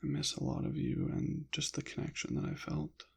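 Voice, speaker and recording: A man rambling softly in an ASMR style, slipping in and out of a whisper, with a hesitant, halting, apologetic manner. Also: a little high, little pitch movement, creaky, breathy edge. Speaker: ASMR channel creator. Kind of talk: soft-spoken ASMR ramble, partly whispered.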